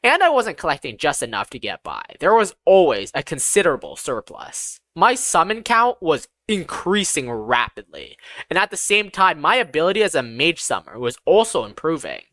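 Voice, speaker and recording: A woman's voice: sits low, sing-song, normal speed, a bit bright, no echo. A woman reading a scripted first-person fantasy audiobook as its narrator.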